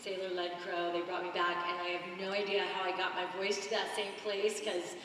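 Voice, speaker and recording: Woman, scratchy voice, bright tone, casual panel talk, roomy hall echo. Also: lowish voice, little pitch movement, fairly quick. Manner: laughing, easygoing. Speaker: voice actress on a panel. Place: large convention hall. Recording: echoey convention hall, far from mic.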